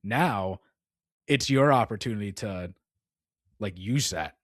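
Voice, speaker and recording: A man's voice: on the low side, animated, slow, no echo, studio quality. A young man co-hosting a casual gaming podcast, rambling and filling his talk with "like" and "dude.". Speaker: podcast co-host.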